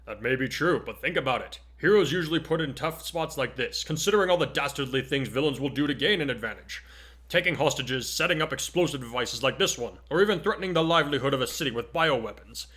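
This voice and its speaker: A man's voice: mid-range voice, lively intonation, fast, a bit bright, lightly acted. A man voicing a hearty, encouraging superhero teacher in a grand declaiming way, in an amateur story reading. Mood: hearty, instructive. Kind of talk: amateur dramatic fan fiction reading.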